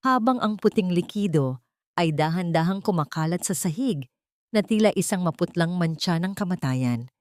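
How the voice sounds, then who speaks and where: A woman's voice: on the low side, darkish tone, dry recording, studio quality. A woman reading a scripted dramatic story in a solemn, theatrical storytelling manner. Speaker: narrator.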